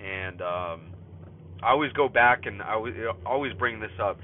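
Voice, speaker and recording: A man's voice: fairly low pitch, normal speed. Stuffy man rambling while driving. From California. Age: 30s. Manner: rambling, many fillers and restarts. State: stuffy from a cold. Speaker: podcast host. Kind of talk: casual spoken journal. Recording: phone-like line, faint car noise. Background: car road noise.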